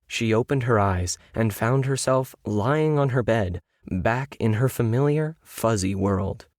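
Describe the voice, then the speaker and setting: A man's voice: mid-range voice, a little slow, a little mellow, no room sound, clean studio sound. A man reading a science fiction story as scripted audiobook narration. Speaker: story reader.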